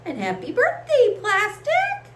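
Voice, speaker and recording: A woman voicing an excitable, affectionate little birthday girl in a storybook, gushing her announcements. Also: extremely high pitch, slow, pitched above the performer's own voice, full character voice. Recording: echoey room with faint hiss.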